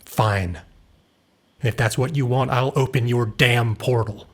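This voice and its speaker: A man's voice: mid-range voice, slow. An actor playing a weary, regretful, burdened middle-aged man in an audio drama, speaking with earnest, dramatic delivery. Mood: bitter, tired. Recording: long reverb, music underneath.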